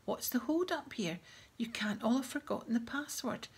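A woman voicing a pompous, self-important teenage boy who talks briskly. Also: on the high side, expressive, breathy edge, exaggerated voice. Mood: bossy.

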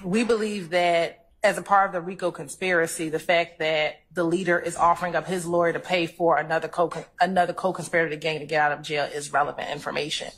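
A woman prosecutor addresses the court in argument. Her voice is husky and a little low in pitch. She is speaking in a large courtroom. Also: steady tone, quick pace, few pauses. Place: large wood-paneled courtroom.

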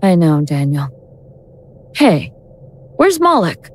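A woman playing an awakened ancient goddess in an audio drama, speaking in a measured, sardonic, grandiose way with cold contempt. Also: lowish voice, sing-song, a little hiss or hum. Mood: tender, restrained.

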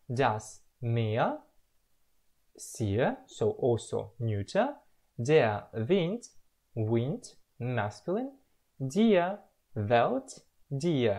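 A young man from Russia, explaining the words he has learned slowly and carefully in a self-recorded lesson at home on a webcam. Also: medium pitch, a little mellow, breathy edge. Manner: slow, careful, drawn-out word-by-word explanation. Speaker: language learner presenting his progress.

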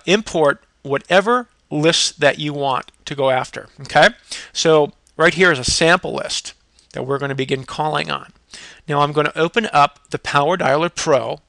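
A man presenting a product demo as a software salesman, with an emphatic, instructional, salesy way of talking. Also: fairly high pitch, dry recording. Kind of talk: screen-recorded product demo pitch. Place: indoors, voice-over on a computer screen recording.

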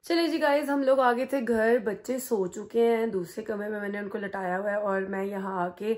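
A woman in her thirties, hoarse from a sore throat, chatting slowly and tiredly to her phone camera at home as a family vlogger. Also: medium pitch, fairly even intonation, darkish tone, soft background music. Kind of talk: casual vlog chat to camera. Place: indoors at home. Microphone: phone held at arm's length.

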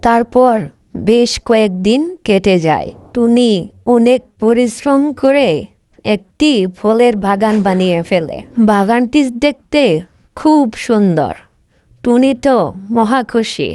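A woman's voice: on the high side, expressive, takes it slowly, dark tone, no room sound. A woman narrating a scripted cartoon tale as a storyteller.

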